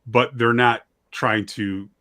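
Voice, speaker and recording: A man's voice: mid-range voice, fairly even intonation, takes it slowly, bright, forceful tone. A man talking casually as an interview guest, plain-spoken and self-deprecating, emphatic about what he believes. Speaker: guest, audio drama writer and director.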